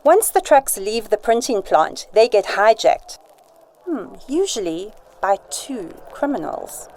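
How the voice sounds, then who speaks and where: A woman's voice: a little high, big pitch swings, slow, a little mellow. A woman acting a poised, flirtatious informant in an amateur radio drama, polite and coy. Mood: confiding.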